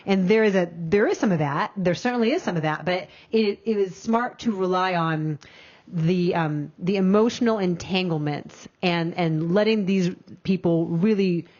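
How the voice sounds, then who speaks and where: A woman's voice: on the low side, darkish tone. A woman film critic co-hosting a casual review chat, talking emphatically and laughing easily. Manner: emphatic, quick to laugh, wrapping up the show. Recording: dull lo-fi audio.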